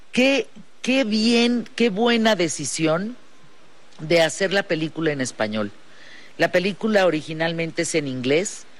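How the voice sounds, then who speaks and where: A man's voice: on the high side, a bit bright. A man interviewing a guest on the radio, earnest and asking in long run-on questions. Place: radio studio.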